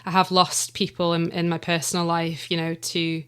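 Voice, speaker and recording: A woman's voice: lowish voice, completely flat delivery, normal speed, clean tone. A young woman from the Isle of Man with a Manx English accent, talking casually in an interview, chatty and hesitant with plenty of fillers. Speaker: writer and director of an audio drama, guest.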